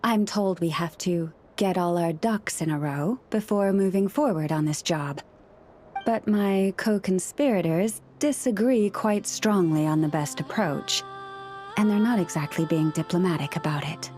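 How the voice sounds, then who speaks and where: A woman playing a gentle, composed young woman in a video game, with measured, articulate and gently wry delivery. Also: on the low side, soft background music, lightly acted. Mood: dry, wry. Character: gentle, composed young woman who understands animal speech.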